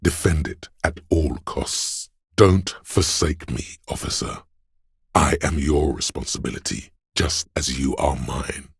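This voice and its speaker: Very deep, gravelly brooding city spirit. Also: male voice, no room sound, studio quality, heavily acted. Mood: somber, then pleading. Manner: slow, hushed, ominous, solemn. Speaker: game voice actor. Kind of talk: poetic second-person game narration.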